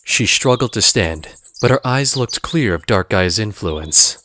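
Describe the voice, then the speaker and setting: A man's voice: on the low side, animated, a little slow, sharp tone. A man narrating an audio drama in a dramatic, scripted storytelling style.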